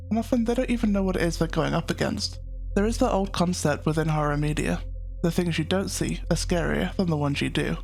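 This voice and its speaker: A man narrating a scripted analytical video essay as its host, in a measured, explanatory manner. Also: high-pitched, gravelly.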